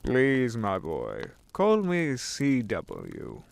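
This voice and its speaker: An actor playing an ancient, suave devil posing as a gentleman, genteel and condescending in an audio drama. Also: mid-range voice, huge pitch swings, very slow, creaky, heavy background noise, full character voice. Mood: genial. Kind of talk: fan audio drama acting.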